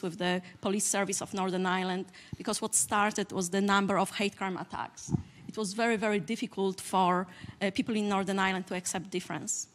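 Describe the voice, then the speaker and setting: Polish-accented woman, panel speaker, mid pitch, steady and plain. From Poland. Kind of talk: conference panel talk.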